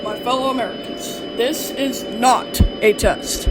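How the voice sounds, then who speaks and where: A performer playing a grave President, giving a solemn, deliberate scripted emergency address about the end of the world. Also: steady tone, over loud music, lightly acted, rough recording. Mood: grave, urgent. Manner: solemn, deliberate, repeating for emphasis. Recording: very loud noise, vehicle-like rumble.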